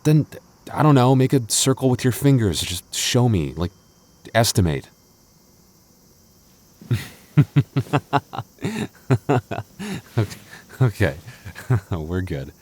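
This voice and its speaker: A man playing a clingy, jealous young boyfriend in an intimate roleplay audio, talking casually and haltingly, prone to stammering when flustered. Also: fairly low pitch, lively intonation, darkish tone. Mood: smug, playful pleading.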